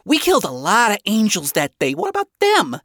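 A woman's voice: medium pitch, wildly swooping pitch, a little fast, fairly bright tone, dry recording, clean studio sound, voice pushed up, heavily acted. A woman voicing a blunt, brash male demon character in a narrated story. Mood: confused.

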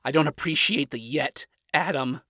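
A man's voice: fairly high pitch, moderate pace, pushed and bright, no room sound, clean studio sound, pitched above the performer's own voice. A man voicing a proud, irritable devil father in a story, speaking in a wounded, defensive way. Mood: grudging. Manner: indignant, defensive.

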